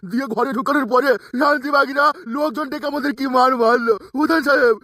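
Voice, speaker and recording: A man voicing a cowardly, grovelling henchman in a cartoon, with a whiny, hurried, complaining way of talking. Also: high voice, steady tone, bright, forceful tone, no echo, pitched above the performer's own voice. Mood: whining. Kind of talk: adult cartoon comedy acting.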